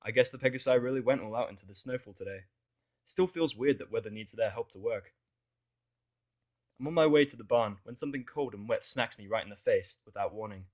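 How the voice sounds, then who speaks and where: A man's voice: mid-range voice, brisk, darkish tone. A man reading a scripted first-person fan fiction story as its narrator.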